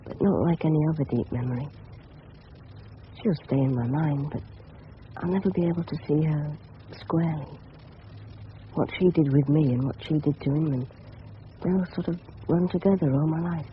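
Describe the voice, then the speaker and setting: A man's voice: a little high, moderate pace, long pauses, lightly acted. An actor playing an earnest, cocky English teenage boy in a radio drama, with a well-spoken southern English accent. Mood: wistful. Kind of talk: 1970s radio drama acting. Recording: dull, noisy old radio broadcast.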